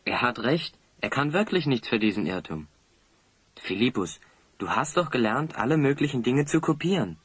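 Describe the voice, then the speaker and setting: An earnest adventurer hero in a fantasy audio play. His voice is medium-pitched and polite, recorded very dry and close. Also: sing-song, normal speed, husky, no room sound. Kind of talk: German audio drama acting.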